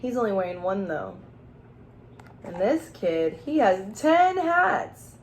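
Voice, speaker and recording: A woman's voice: mid-range voice, very animated, takes it slowly, a little hiss or hum. A woman teacher reading a children's storybook aloud, expressively, with questions and asides addressed straight to young listeners.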